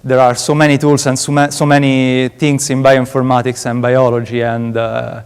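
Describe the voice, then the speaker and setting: A man's voice: mid-range voice, little pitch movement, normal speed, smooth. A man in his late twenties with an Italian accent, a PhD student giving a conference talk, hesitant and full of filler sounds. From Italy. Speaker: PhD student presenting research. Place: large conference hall. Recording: reverberant conference hall.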